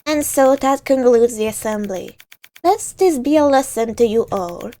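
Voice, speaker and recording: A woman's voice: a little high, expressive, a little slow, warm tone. A woman voicing a stern, merciless female community leader who speaks in a commanding, scornful way for a visual novel.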